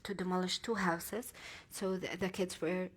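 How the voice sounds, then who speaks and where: A woman interprets for a grieving mother at a panel, her voice somewhat low and flat in pitch. She works hesitantly, filling gaps with hums and fixing her own words mid-sentence. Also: moderate pace. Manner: hesitant, with fillers and self-corrections. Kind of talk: consecutive interpreting.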